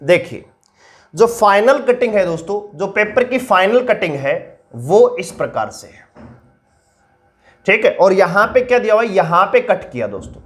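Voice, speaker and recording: A man teaching reasoning for an exam-prep class, with a sore throat. He puts questions to viewers and answers them himself in a lower, slower voice, explaining step by step. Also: sing-song, fairly bright tone, faint music behind. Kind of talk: online exam-prep lesson.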